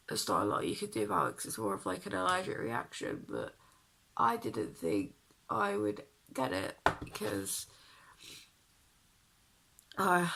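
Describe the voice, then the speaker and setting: A young woman with a deep, husky voice, sounding ill and croaky, chatting casually to the camera about her makeup and feeling sick. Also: sharp tone. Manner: chatty and self-deprecating, sighing. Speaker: beauty vlogger.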